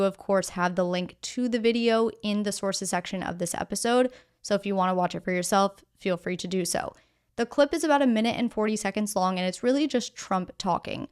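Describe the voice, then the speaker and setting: Clear, smooth-voiced woman reading the news. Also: mid-range voice. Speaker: news podcast host. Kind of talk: scripted news narration with casual explanatory asides.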